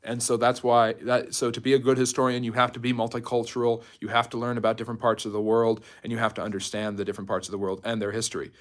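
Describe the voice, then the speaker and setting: A man's voice: mid-range voice, level tone, fast, few pauses. Chatty man hosting a history live stream. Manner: chatty, jumps between topics, reads out and answers viewer comments. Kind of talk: casual live Q&A talk mixed with informal history lecturing.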